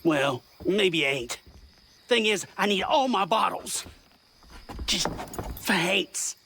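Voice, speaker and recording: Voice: mid-range voice, lively intonation, slow, creaky, bright, forceful tone, slight background noise, full character voice. An actor voicing a wary, superstitious rural swamp dweller in a video game, blunt and folksy, with a Southern American accent. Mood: wary, softening. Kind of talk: video game character dialogue.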